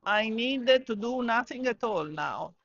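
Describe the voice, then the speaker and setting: A man's voice: extremely high pitch, expressive, fairly bright tone, dry recording. A retired man, chatting hesitantly in a bar. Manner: hesitant, halting speech. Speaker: retired press officer. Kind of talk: casual chat. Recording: slightly dull sound.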